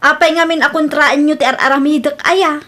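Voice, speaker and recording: High-voiced, insistent young expectant mother played by an actress. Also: steady tone, fairly quick, sharp tone. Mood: offended, complaining. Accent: Filipino (Ilocano). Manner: insistent, complaining. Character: excitable, stubborn expectant young mother, quick to take offence. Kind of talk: Ilocano radio comedy drama acting. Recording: background music under the voice.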